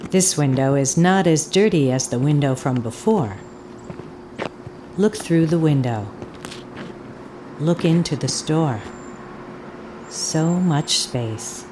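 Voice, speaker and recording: An actress playing a haunted audio tour guide in a fiction monologue, speaking deliberately and haltingly, with insistent repetition. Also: female voice, low-pitched, background noise. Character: eerie, haunted woman guiding an audio tour. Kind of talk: dramatic second-person audio fiction monologue.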